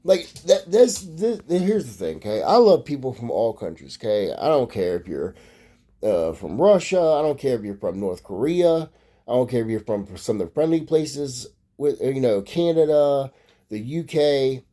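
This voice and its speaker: A man's voice: medium pitch, big pitch swings, moderate pace, dark tone. A man from Maryland, USA, delivering a profane, rambling casual monologue as a vlogger.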